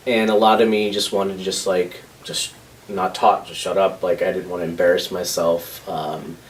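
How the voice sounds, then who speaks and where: A man in his thirties in a documentary interview, talking hesitantly with many pauses and fillers. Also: low-pitched, little pitch movement. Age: 30s. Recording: voice from a distance with faint noise.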